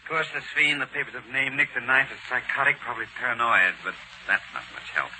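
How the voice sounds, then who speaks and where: An actor playing a calm, analytical gentleman detective in a 1940s radio drama, on an old hissy recording. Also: mid-range voice, fast talker, lightly acted. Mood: thoughtful, measured.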